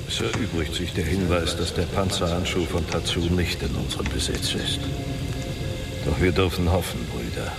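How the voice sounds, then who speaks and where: An actor playing a commanding leader of a secret brotherhood in a fantasy radio drama, speaking formally and with ceremony. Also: male voice, sits low, moderate pace, recorded from a distance. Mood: solemn. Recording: loud music behind the voice.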